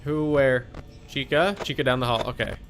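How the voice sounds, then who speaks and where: A man's voice: mid-range voice, normal speed, fairly bright tone, a little hiss or hum. A man doing casual, chatty live gameplay commentary as a streamer, playfully exasperated with his chat.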